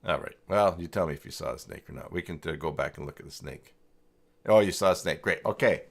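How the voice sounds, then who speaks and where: A man with a fairly low voice hosts a casual live tutorial on website tools. He speaks informally and thinks aloud, often halting mid-sentence. His delivery is that of someone demonstrating on screen while chatting with those watching. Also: gravelly.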